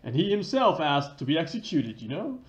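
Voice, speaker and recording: A cocky, devoted special investigations chief, as acted by a man reading a video game. He swaggers along in a highish, very animated voice. The sound is roomy and lo-fi. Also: a little fast. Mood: determined, confident. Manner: swaggering, says "baby" as a tag. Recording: dull lo-fi roomy mic.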